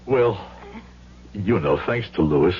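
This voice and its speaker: A man's voice: sits low, expressive, a little slow, slight background noise. An actor playing a troubled, honest immigrant shopkeeper father in an old radio drama, earnest and questioning. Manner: earnest, questioning, careful phrasing. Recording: muffled, humming vintage radio recording.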